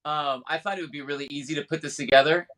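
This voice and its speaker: A man co-hosting a casual podcast over his cell phone, speaking in a halting, hesitant way. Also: fairly high pitch, steady tone, fairly bright tone. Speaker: podcast co-host.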